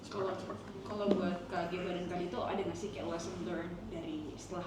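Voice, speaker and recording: A woman interviewer with a lowish voice asks casual questions. She sounds away from the microphone, in a very reverberant space. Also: steady tone, a little fast, distant mic. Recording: very echoey, off-mic, noisy room ambience.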